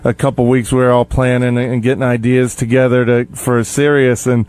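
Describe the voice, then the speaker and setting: A man's voice: mid-range voice, little pitch movement, normal speed, darkish tone, clean tone. A man chatting casually on a radio show, easygoing and matter-of-fact.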